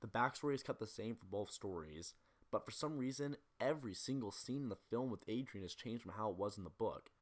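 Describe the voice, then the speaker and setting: A man's voice: lowish voice, expressive, brisk. A man narrating a scripted analytical video essay as the presenter.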